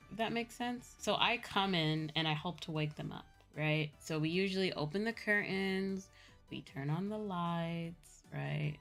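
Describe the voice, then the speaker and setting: A woman with a Midwestern American accent telling stories casually as a livestream host, in an animated, chatty manner. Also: low voice. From Midwestern United States. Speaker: streamer and VTuber host. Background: background music.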